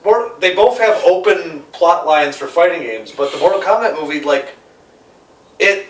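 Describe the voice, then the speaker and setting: Male panelist, slightly high voice, halting and opinionated, casual swearing, recorded in an echoey room. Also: animated. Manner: halting, repetitive, profane. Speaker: panelist on a film ranking show. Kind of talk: casual opinionated group debate. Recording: echoey room, distant mic, faint noise.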